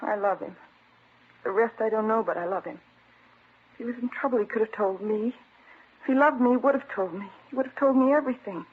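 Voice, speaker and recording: A woman's voice: a little high, a little fast, long pauses, slightly rough. An actress playing an anguished, defensive American woman in love, in an emotional old radio crime drama style. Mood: distraught. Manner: pleading, emotional. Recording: tinny, narrow old radio recording.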